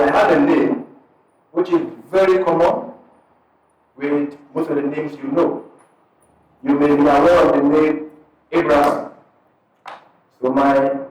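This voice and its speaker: A man with a Ghanaian accent speaks to a gathered crowd as their imam, easygoing and conversational, his thoughts arriving in short halting pieces. His voice sits a little high for a man. He is picked up from far off in a hall that rings with a lot of echo. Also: a little mellow. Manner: conversational, unhurried, breaks phrases into short pieces. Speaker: imam and parish missionary. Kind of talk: addressing an audience at a community event.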